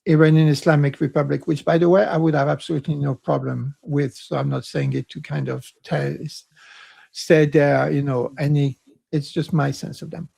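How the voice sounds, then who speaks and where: A man with a croaky voice co-hosting a panel discussion, rambling and self-mocking, then speaking with passion. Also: fairly high pitch, flat delivery, moderate pace, darkish tone. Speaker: co-host.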